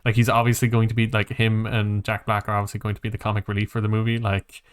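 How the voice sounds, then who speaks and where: A man's voice: on the low side, completely flat delivery, fast, clear, resonant tone. A man hosting a casual podcast about games and films, thinking aloud in a hesitant, rambling way. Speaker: podcast host.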